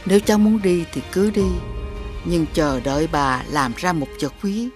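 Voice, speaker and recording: Lady doing a gentle old granny witch in a cartoon, lowish voice, soft music under it. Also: lively intonation, moderate pace, natural voice. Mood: caring. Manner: gentle, doting. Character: kindly, lonely old witch grandmother. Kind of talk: animated fairy-tale dubbing.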